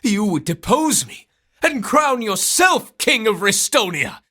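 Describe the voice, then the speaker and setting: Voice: medium pitch, sing-song, a little slow, pushed and bright, slightly rough, exaggerated voice. An actor voicing a haughty, bitter usurper in a video game, scornful and theatrical. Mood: scornful, furious. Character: haughty, bitter usurper ruler clinging to a stolen throne. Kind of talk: dramatic video game voice acting. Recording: music under the voice.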